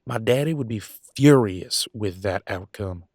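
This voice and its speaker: A man playing a conflicted werewolf pack alpha in an audio roleplay, arguing emphatically in colloquial, sweary speech with dramatic pauses. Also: on the low side, expressive, a little mellow, no room sound, studio quality. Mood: remorseful, firm. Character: Damien, conflicted male werewolf pack alpha, defiant and remorseful. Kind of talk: scripted audio roleplay, one side of an argument.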